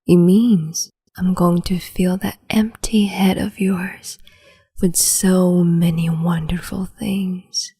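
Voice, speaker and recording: A woman playing a cruel, capricious empress in an ASMR roleplay, whispering in a slow, teasing, domineering way. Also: fairly low pitch, warm tone, exaggerated voice. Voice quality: breathy whisper.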